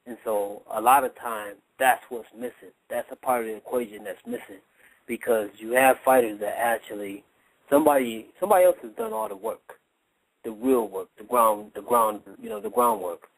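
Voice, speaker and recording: A man's voice: mid-range voice, lively intonation, normal speed, vocal fry, dry recording, poor audio. A man talking casually as a boxing trainer in a radio interview, explaining his points with emphasis and repetition. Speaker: boxing trainer, guest analyst.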